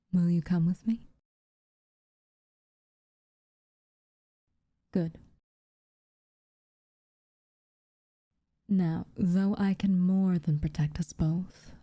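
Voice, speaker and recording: Woman acting hushed, mellow, slow-talking teasing witch. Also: lowish voice, no echo. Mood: calm, commanding. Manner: slow, hushed, wry, teasing delivery with dramatic pauses. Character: aloof, teasing, secretly tender tsundere witch woman. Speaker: ASMR roleplay performer. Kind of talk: ASMR roleplay, intimate soft-spoken acting to the listener.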